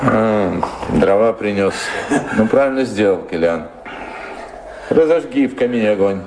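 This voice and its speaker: An actor playing a weary, bored lord of a manor, languid and musing, in an audio drama. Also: male voice, on the low side, animated, unhurried. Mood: genial. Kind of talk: theatrical audio drama acting. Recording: very noisy old radio play recording.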